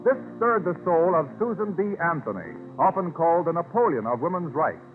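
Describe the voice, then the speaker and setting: A man's voice: fairly high pitch, very animated, a little fast, phone-quality sound. Formal 1930s radio narrator, man, thin sound. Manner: formal, declarative. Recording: phone-thin 1930s radio recording with music. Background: music.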